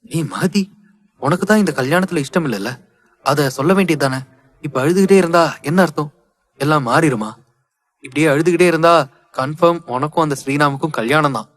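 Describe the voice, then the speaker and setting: A man's voice: on the high side, fairly quick, long pauses, no echo, close to an everyday voice. A man playing an exasperated young relative in an audio story, speaking urgently and reproachfully. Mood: agitated, scolding. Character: exasperated young male relative scolding a crying bride.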